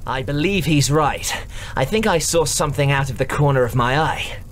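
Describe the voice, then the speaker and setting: An actor playing a resigned traveler in a fantasy audio drama. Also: male voice, medium pitch, normal speed, slight background noise, close to an everyday voice. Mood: wary.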